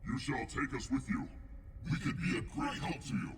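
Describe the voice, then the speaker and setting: An actor playing two talkative demonic guardian brothers in a video game, pompous and dim, speaking in a stilted, formal, bombastic way. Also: male voice, medium pitch, huge pitch swings, moderate pace, background noise. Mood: eager, pleading. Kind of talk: video game cutscene acting. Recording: echoing, distant game audio with loud music.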